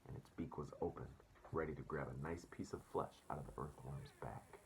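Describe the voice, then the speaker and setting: A man's voice: rumbling low, steady tone, moderate pace, a little hiss or hum. A man reading a storybook aloud as a teacher, expressively, and addressing children casually.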